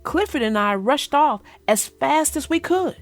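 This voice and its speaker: A woman children's book narrator speaking expressively and deliberately, as if to young children. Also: high voice, lively intonation, faint music behind. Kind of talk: storybook read-aloud and casual chat.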